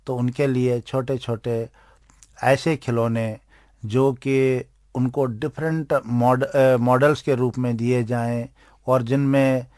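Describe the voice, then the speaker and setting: A man with an Indian accent giving explanatory interview answers as an expert, speaking in deliberate, halting phrases. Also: mid-range voice, level tone, a little mellow, no echo. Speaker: interviewed expert on aids for blind people.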